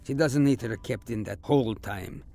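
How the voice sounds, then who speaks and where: A jovial veteran starship captain in a sci-fi audio drama, acted by a man. He is an older man with a creaky, mid-pitched voice and wry, theatrical bluster. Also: moderate pace, warm tone, faint music behind, close to an everyday voice. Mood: dryly businesslike. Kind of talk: science fiction audio drama acting.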